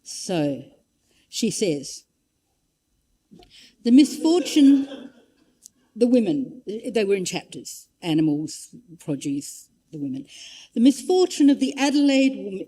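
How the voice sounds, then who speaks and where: Mellow-voiced woman giving a talk. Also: medium pitch, very animated, takes it slowly. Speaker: public lecturer. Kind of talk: informal illustrated history talk with read-out quotations.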